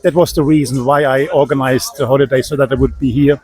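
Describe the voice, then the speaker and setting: A man's voice: mid-range voice, fairly even intonation, quick pace, a little mellow. A man from Germany with a German accent, giving thoughtful, hesitant answers in an interview as a tourist.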